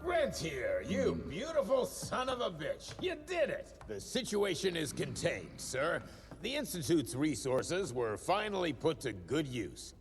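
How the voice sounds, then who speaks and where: An actor playing a smug, scornful corporate schemer. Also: male voice, fairly high pitch, huge pitch swings, unhurried, in a large hall, faint music behind, exaggerated voice. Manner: smug, gravelly.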